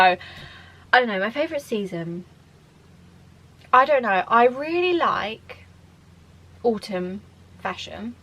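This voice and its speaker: Young woman from the UK, twenties, casual rambling chat, mid-pitched voice. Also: a bit bright, rough recording. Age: 20s. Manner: rambling, chatty, many fillers and restarts. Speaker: vlogger. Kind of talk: casual chatty Q&A.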